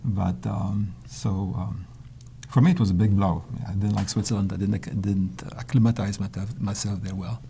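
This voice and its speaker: Gravelly older man, hesitant. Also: lowish voice, steady tone, moderate pace, warm tone, slight background noise. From Poland. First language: Polish. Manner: hesitant, with many restarts and fillers. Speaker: interviewee. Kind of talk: reflective interview answers.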